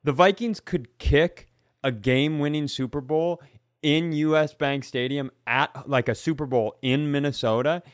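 A man's voice: fairly high pitch, unhurried, dry recording, clean studio sound. An American man co-hosting a podcast, chatting casually and comically as he argues hypotheticals step by step. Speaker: podcast co-host. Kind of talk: casual comedic chat.

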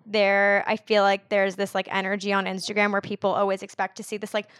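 Guest: woman in her twenties, casual and chatty, loads of "like", mid pitch, dry close mic. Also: monotone, brisk, a bit bright, clean tone, no echo. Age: 20s. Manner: chatty, fills speech with "like". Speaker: podcast guest. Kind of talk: casual conversational interview.

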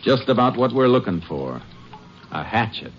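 An actor playing a calm, measured Texas Ranger who narrates his own case, in a 1950s radio drama. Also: mid-range voice, noisy background, lightly acted. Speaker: narrator and lead. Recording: muffled old radio broadcast.

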